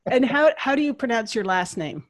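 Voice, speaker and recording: A woman's voice: a little high, expressive, a little fast. A woman hosting an interview podcast, moving between scripted introduction and conversational questions.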